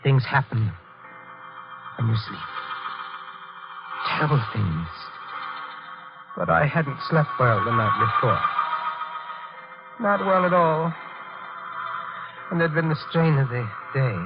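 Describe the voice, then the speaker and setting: A guilty, insomniac American husband who has killed, in old radio horror style. He speaks in a guarded, halting way at an everyday middling pitch. The recording is dull and vintage, with music behind. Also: animated. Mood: haunted. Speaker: actor and narrator in radio drama. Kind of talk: 1940s radio horror acting.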